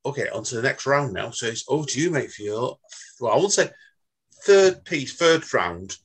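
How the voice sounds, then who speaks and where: A man's voice: mid-range voice, animated. A man hosting a casual podcast chat, joking and laughing as he moves things along. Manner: chatty, laughs often, quick asides. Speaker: podcast host.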